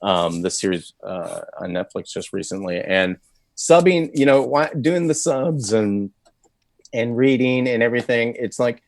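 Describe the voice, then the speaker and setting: A man's voice: mid-range voice, animated, measured pace, dry recording. A man, a voice actor, talking casually and thoughtfully in an interview, with many fillers and restarts. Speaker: voice actor guest.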